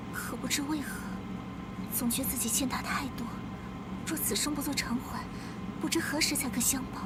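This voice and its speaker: Woman voicing a very high-pitched, earnest young noblewoman. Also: lightly acted. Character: devoted, outspoken young noblewoman of an imperial court. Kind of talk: dramatic period animation dubbing. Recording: clear background noise behind voice.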